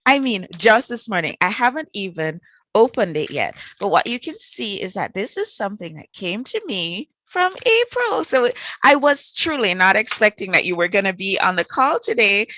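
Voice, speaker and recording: A woman's voice: medium pitch, very animated, normal speed, bright, forceful tone, dry recording. A woman with a Trinidadian accent hosting an online networking call in an effusive, enthusiastic way. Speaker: host. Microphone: video call.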